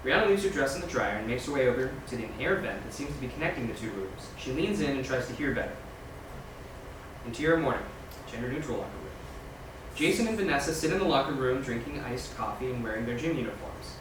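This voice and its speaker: Fast-reading man in a very echoey room. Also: mid-range voice, animated. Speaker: reader of scene directions. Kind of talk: scripted narration at a screenplay table read. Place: large echoey room, far from mic. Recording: echoey, noisy room from far off.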